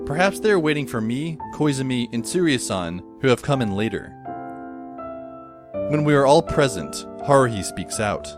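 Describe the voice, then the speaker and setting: Wry, introspective schoolboy narrator, played by a man, audio drama, measured yet melodically varied, faint music behind. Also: mid-range voice, animated, natural voice. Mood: calm, reflective. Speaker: narrator and lead character. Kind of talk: first-person scripted audio drama narration.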